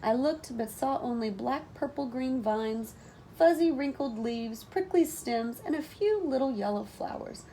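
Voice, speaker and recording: A woman teacher reading a children's story aloud in an expressive, deliberate manner, with animated phrasing. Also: high-pitched, low-quality recording. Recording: noticeable background noise.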